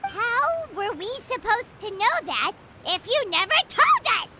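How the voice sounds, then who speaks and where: A woman playing a tiny, childlike, pouty fairy companion in a video game, squeaky and excitable. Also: unhurried, very noisy, full character voice. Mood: indignant. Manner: indignant, refers to self in third person. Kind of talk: video game character acting. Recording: music behind the voice.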